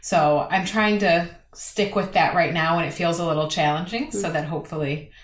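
Woman, 30s, soft, hesitant, low voice, roomy echo. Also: normal speed. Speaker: guest, old college friend. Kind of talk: casual chat. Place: home in Michigan.

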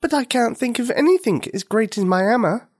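A woman voicing a loving, earnest little girl in a children's story, with a high, pleading childlike voice. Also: lively intonation, fairly quick, a little mellow.

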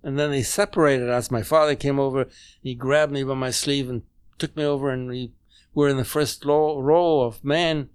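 A man in his nineties who grew up speaking German, recounting memories in a halting, measured way with many restarts, at home. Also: mid-range voice, fairly even intonation, clear, resonant tone, no echo. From Poland. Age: 90s. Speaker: interview guest. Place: home dining room.

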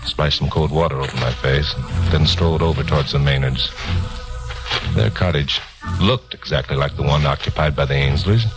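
Male lead for 1950s radio crime serial: low-voiced, self-assured commentator-detective, music on the recording. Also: moderate pace, poor audio. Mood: tense narrating. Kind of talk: 1950s radio drama acting and first-person narration.

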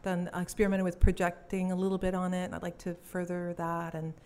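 A woman's voice: on the low side, little pitch movement, a little fast, a little mellow, clean tone. A young woman from Belgium, a cellist, chatting casually in an interview and laughing easily. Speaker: cellist and musician guest.

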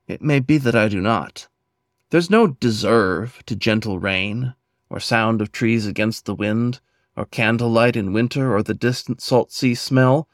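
A man reciting his own poem in an earnest, measured, dramatic way, with deliberate pauses between phrases. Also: on the low side, no echo, clean studio sound. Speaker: poet and podcast host reading own poem.

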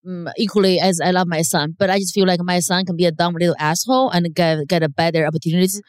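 A woman's voice: on the low side, fairly even intonation, fast, clear, resonant tone, dry recording, clean studio sound. A woman in her thirties from China with a Chinese accent, a comedian talking frankly on a podcast with many hesitations. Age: 30s. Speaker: comedian guest. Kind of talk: casual podcast chat.

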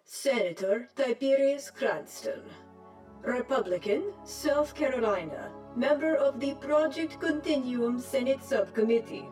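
A woman playing a calm, literal ship's computer in an audio drama, speaking formally and precisely. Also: high voice, measured pace, faint music behind, low-quality recording. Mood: formal announcing.

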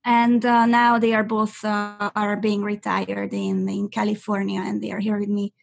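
A woman's voice: mid-range voice, completely flat delivery, moderate pace, gravelly. A young woman from Hungary, a native Hungarian speaker, chatting as an interview guest with many fillers and restarts. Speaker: guest affiliate marketer. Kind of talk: interview answers.

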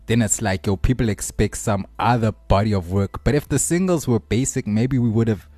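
Low-voiced South African man, close and dry. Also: moderate pace, warm tone, no echo. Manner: slangy, addresses friends as "bruh" and "dog". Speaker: podcast co-host. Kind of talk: casual chat.

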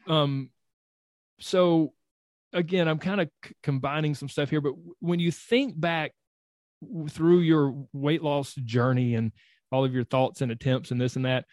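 A man's voice: a little high, fairly even intonation, unhurried, darkish tone, no echo. Upbeat, encouraging male host. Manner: enthusiastic, encouraging, rambling. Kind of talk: interview, coaching podcast.

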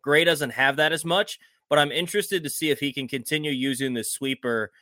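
A man's voice: fairly high pitch, fairly quick, pushed and bright, no echo, studio quality. A man co-hosting a sports show, talking through conversational baseball analysis.

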